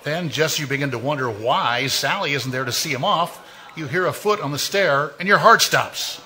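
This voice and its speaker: Actor as an all-knowing radio mystery narrator, mocking and measured, slightly high, sharp tone. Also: male voice. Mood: taunting. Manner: taunting second-person narration, measured and ominous. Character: sinister omniscient male narrator of a radio mystery. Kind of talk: 1940s radio acting.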